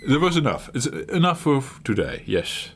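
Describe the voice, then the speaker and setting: A middle-aged man hosting his retro gaming channel, talking while he plays in a halting, reflective way, searching for words and laughing at himself. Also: mid-range voice, sing-song, gravelly, slight background noise. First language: Dutch. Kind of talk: reflective gameplay commentary.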